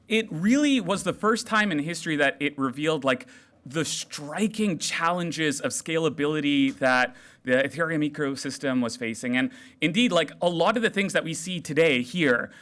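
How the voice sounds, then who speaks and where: Man, stage speaker, loud and playful with the audience, higher register. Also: normal speed. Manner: loud, animated, jokey with the crowd. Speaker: developer relations speaker. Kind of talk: energetic live tech talk. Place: large room at a hackathon event.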